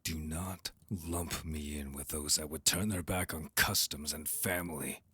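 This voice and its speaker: A man playing a cold, haughty vampire council envoy in an audio drama, curt, formal and disdainful, with a husky voice. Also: extremely low pitch, fairly even intonation, a little slow, bright, forceful tone, exaggerated voice. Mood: bitter, scornful. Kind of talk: audio roleplay drama acting.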